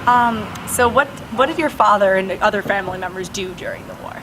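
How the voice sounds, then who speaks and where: A woman interviewer asking plain, measured questions. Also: medium pitch, fairly bright tone, a little hiss or hum, low-quality recording.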